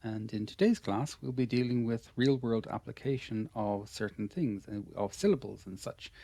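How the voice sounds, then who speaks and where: A man's voice: fairly low pitch, normal speed, creaky, mellow, no room sound. A man with an Irish accent teaching English pronunciation in an online lesson. He talks patiently and encouragingly, repeating his praise often. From Ireland.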